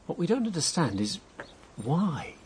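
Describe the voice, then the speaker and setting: A provocative, cynical married man in a radio drama. His delivery is sly and very animated in pitch, with distinct background noise. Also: a little slow. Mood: cynical sympathy.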